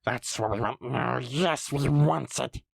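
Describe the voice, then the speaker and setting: A man's voice: mid-range voice, slow pace, creaky, dry recording, studio quality, heavily acted. A man voicing a slimy, greedy, ancient male creature that hisses and mutters to itself. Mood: whispering, scheming. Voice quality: hissing, whispery. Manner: wheedling, muttering to himself.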